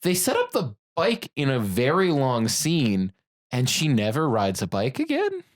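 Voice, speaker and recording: A man's voice: medium pitch, wildly swooping pitch, a little slow. A man co-hosting a podcast, casually talking movies in a blunt way with some swearing. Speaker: podcast co-host.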